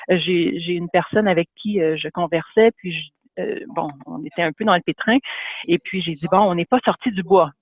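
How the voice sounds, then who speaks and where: A man's voice: sits high, no room sound. A man with a Canadian French accent giving a webinar lecture, hesitant, with many fillers and restarts. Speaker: webinar presenter. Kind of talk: lecture for an online audience. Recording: phone-like dry webinar audio.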